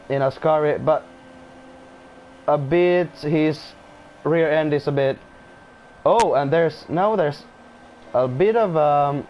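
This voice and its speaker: A man's voice: fairly high pitch, dull audio, slight background noise. A man who is not a native English speaker commentating casually on an online race, often restarting sentences and apologizing. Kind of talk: casual live sim-racing commentary.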